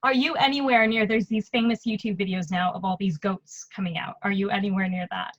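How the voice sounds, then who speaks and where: A woman hosting a casual interview, chatting in an enthusiastic, lively way. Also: medium pitch, a little fast. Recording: dull, dry lo-fi audio.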